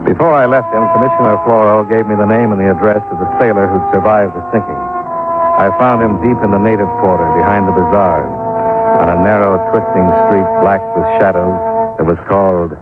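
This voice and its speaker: An actor playing a dry, skeptical insurance investigator, terse and wry in old-time radio style. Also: male voice, fairly low pitch, steady tone, fairly quick, over loud music, lightly acted. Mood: wry narration. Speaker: lead actor and narrator in a radio detective drama. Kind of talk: old-time radio acting and first-person narration. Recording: tinny, noisy old radio recording.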